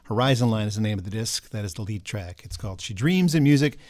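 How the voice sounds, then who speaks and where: A male podcast host, chatting casually and quickly slipping into jokey rants. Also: lowish voice, lively intonation, darkish tone. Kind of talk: casual interview chat.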